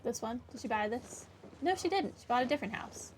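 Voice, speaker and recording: A woman's voice: on the high side, animated, a little fast, slight background noise. A woman giving casual commentary as she plays through a video game.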